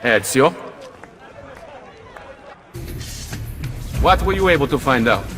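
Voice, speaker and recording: Resolute, wary Italian guild boss for a game, hard-edged forceful voice, grave delivery. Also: male voice, medium pitch, lively intonation, a little fast, soft background music. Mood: questioning. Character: grave, suspicious Italian thieves' leader, resolute. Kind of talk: dramatic video game cutscene acting.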